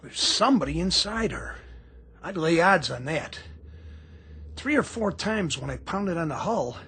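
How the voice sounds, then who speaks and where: A man's voice: on the high side, expressive, measured pace, pushed and bright, breathy edge, full character voice. A man playing a dutiful, rattled Navy bosun and diver in a radio drama, clipped and deferential. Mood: dutiful, weary. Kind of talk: radio theatre acting.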